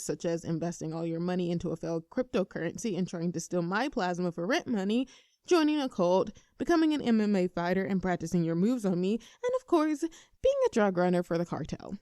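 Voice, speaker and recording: Mellow, earnest young woman, acted, close and dry. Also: fairly low pitch, clean tone, no echo, lightly acted. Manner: mock-formal presenter, earnest and teasing. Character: nervous, earnest young female best friend confessing a crush. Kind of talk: scripted roleplay audio addressed to the listener.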